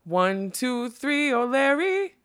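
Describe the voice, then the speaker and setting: A woman's voice: mid-range voice, normal speed, fairly bright tone, natural voice. Casting: female reader as flighty male butterfly for a storybook, theatrical, rambling, songful, whimsical nonsense-spouter. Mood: swaggering. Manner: theatrical, rambling, bursting into song. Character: whimsical scatterbrained male butterfly who sings and quotes nonsense. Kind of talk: acted audiobook storytelling.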